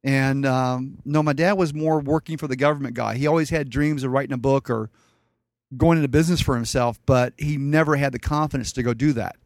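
A man's voice: medium pitch, fairly even intonation, fairly quick, dry recording, studio quality. A middle-aged man telling family stories in a blunt, wry way, a guest in casual conversation. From Colombia. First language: Spanish. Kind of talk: casual storytelling.

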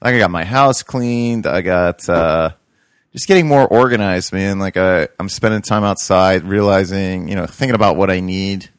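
Casual talker, young man, very low voice, loose rambly way of speaking. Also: normal speed, smooth, no room sound, studio quality. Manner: rambling, many fillers and restarts. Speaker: podcast co-host, urban farmer. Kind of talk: casual chat.

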